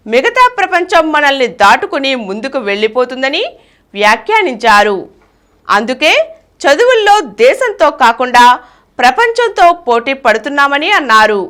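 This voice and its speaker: A woman reading a news bulletin in a steady, formal newsreading cadence. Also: sits high. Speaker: news reader.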